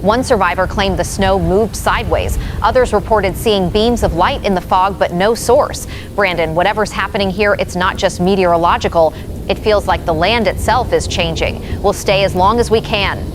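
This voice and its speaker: A woman's voice: mid-range voice, normal speed, music in the background, natural voice. A woman acting an uneasy but professional field reporter in an audio drama, giving a serious, descriptive report. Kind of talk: live news field report.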